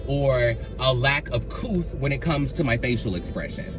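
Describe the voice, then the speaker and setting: A man with a big, expressive voice talks casually to the camera, circling back and repeating phrases as he goes. His pitch sits in a plain middle range. Music plays clearly underneath. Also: normal speed. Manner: expressive, reactionary, rambling with repeats. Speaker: YouTuber. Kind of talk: casual talking-head vlog.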